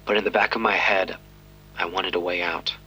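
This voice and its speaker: An actor playing a guilt-ridden police detective giving a grave, terse confession in a crime audio drama. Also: male voice, low-pitched, steady tone, moderate pace, pushed and bright, husky, full character voice, poor audio. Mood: resolute. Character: guilt-ridden, weary but determined male police detective.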